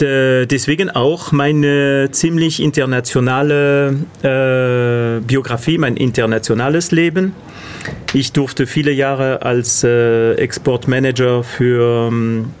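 Spanish-accented man, halting German. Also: medium pitch, darkish tone, a little hiss or hum. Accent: Spanish, with French influence. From Catalonia, Spain. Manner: hesitant, many "äh" fillers, searching for words. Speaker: guest, association organizer. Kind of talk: interview answers.

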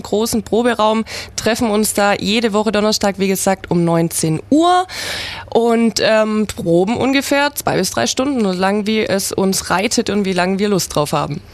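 A woman who leads an improv theater club, talking chattily and enthusiastically on the radio. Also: medium pitch. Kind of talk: radio interview.

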